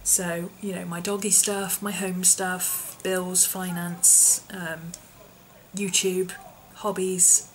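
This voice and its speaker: Woman vlogging, voice hoarse n husky from being ill, kinda low, lots of ums, a bit of faint background noise. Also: little pitch movement, slow pace, rough recording. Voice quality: husky, often whispery. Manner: rambling, lots of ums, small laughs. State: hoarse, voice partly lost from infection. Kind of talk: casual chatty vlog.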